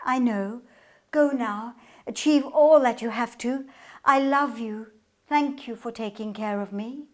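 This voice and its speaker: A woman's voice: on the high side, measured pace, darkish tone, lightly acted. A woman voicing a sweet, easily frightened younger sister in an earnest radio play melodrama. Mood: tender.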